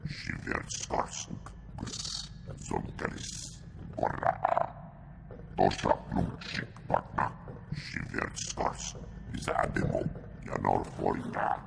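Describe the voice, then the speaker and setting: A man voicing a hulking reptilian alien in a video game, with slow, guttural, growling speech in an alien tongue. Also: very deep voice, level tone, vocal fry, background noise, exaggerated voice. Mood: guttural, deliberate.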